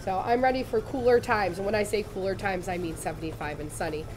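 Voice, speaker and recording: Woman in her 30s, bit raspy after losing her voice, friendly live craft demo, faint hiss behind. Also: medium pitch, steady tone, quick pace. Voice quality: slightly raspy from laryngitis. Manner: chatty, upbeat, addresses viewers directly. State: recovering from lost voice. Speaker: embroidery demonstrator. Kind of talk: live sewing tutorial. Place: studio set with shelves, indoors.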